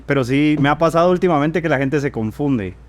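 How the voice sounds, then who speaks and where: A man from Guatemala with a Guatemalan accent, an economist guest speaking calmly and matter-of-factly. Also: a little high, fairly quick. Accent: Guatemalan Spanish. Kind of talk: podcast interview.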